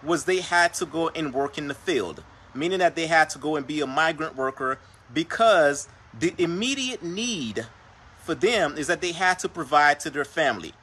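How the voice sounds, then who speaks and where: Man raised in Haiti, Creole first language, host, bright forceful voice, a little high, faint noise behind. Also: lively intonation, normal speed, slightly rough. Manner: earnest, repeats phrases, says "per se" and "right?". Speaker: podcast host. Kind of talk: motivational livestream talk.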